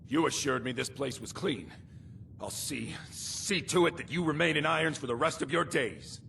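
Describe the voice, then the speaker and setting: An actor playing a stern, overbearing guard captain in a fantasy video game, commanding and accusatory in manner. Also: male voice, a little high, normal speed, vocal fry, a bit bright, slight background noise. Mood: outraged.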